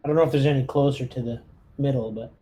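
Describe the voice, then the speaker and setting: A man's voice: medium pitch, fairly even intonation, fast, mellow. A man playing a tabletop roleplaying game, casually narrating his character's moves and chatting at the table.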